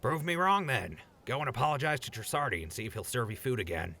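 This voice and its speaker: A man's voice: mid-range voice, very animated, quick pace, gravelly, sharp tone, heavily acted. A man playing a wry, needling but sensible ghoul in a post-apocalyptic audio drama, casual and sardonic. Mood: teasing.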